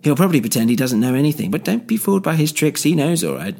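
A man voicing a smug middle-aged male villain who talks in a mocking, gloating, drawn-out way. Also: fairly high pitch, sing-song, creaky, mellow, a little hiss or hum, voice pushed up. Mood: coaxing.

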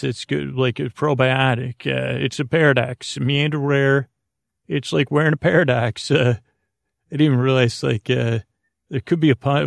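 Male sleep-podcast host: gravelly creak, dry close sound, soothing and rambling, halting delivery full of tangents. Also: mid-range voice, dry recording. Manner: meandering, halting, trails off into tangents.

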